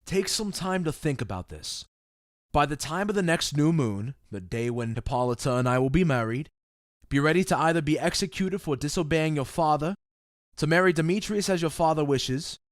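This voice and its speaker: A man playing a commanding, measured duke in an audio drama, speaking with stately, deliberate authority. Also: a little high, lively intonation, dry recording, studio quality, lightly acted. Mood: stern, advising. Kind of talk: modernized Shakespeare audio drama acting.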